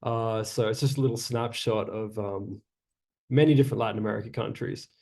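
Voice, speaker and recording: A man with an Australian accent, giving a relaxed, chatty talk as a guest speaker over a video call, with frequent uhs. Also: on the low side, a little fast. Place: home in Chile.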